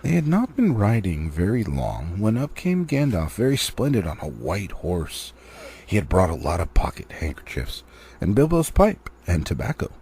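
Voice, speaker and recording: A man's voice: low voice, wildly swooping pitch, normal speed, mellow, a little hiss or hum. A man reading a fantasy novel aloud expressively, like an amateur audiobook, with the odd stumble. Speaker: amateur storybook reader.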